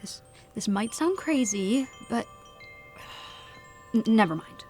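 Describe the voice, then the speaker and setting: A woman's voice: on the high side, animated, slow, darkish tone, faint music behind. An actress playing a grieving, anxious young farm woman in an audio drama, suspicious and defiant. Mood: troubled, hesitant.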